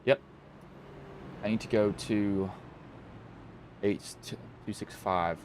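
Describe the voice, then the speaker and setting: Low-voiced Southwest Virginian man calling sim races. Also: steady tone, takes it slowly, a little hiss or hum. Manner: rambling, self-correcting, talks to himself between calls. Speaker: sim racing commentator. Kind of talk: play-by-play racing commentary.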